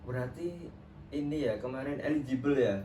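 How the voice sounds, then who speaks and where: A man's voice: mid-range voice, normal speed, warm tone. A young man acting as a trainee counselor, chatting casually and friendly with lots of fillers. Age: young adult. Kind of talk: role-play counseling session. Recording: roomy, distant mic with clear background noise.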